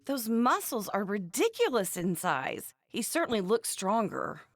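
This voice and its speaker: A woman's voice: mid-range voice, very animated, unhurried, no echo, clean studio sound. An actress voicing a feisty young woman in an anime game, speaking bluntly and expressively with a scornful edge. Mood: curious, blunt. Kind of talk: scripted game voice lines.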